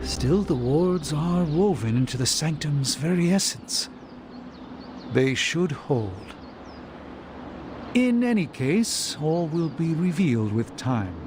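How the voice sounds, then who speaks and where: An actor playing a grave, pompous sorcerer in a video game, speaking in formal, lofty, verbose phrases. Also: male voice, a little high, sing-song, slow, background noise. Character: pompous, grave male sorcerer, master of mystic arts. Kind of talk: theatrical video game dialogue. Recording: loud music under the voice.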